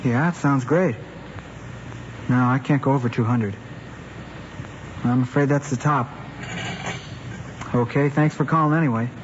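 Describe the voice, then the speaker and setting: A man's voice: mid-range voice, unhurried, long pauses, lightly acted. An actor playing a level-headed American teenage boy who talks in a casual, guarded way, in a family drama. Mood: polite, evasive. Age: young adult. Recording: muffled old recording, faint noise.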